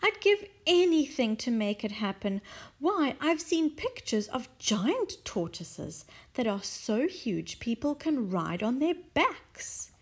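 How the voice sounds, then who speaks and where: A woman putting on a high, gushing, doting lady. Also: very animated, slow, slightly rough, full character voice. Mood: doting, pleading. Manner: high, fluttery and pleading. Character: sweet, gushing kindly woman doting on her pet tortoise.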